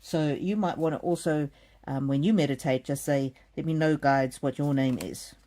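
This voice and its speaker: A middle-aged woman from South Africa giving a gentle live oracle card reading, speaking softly and addressing viewers by name. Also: low-pitched, normal speed. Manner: gentle, soothing, talks straight to viewers by name. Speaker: card reader.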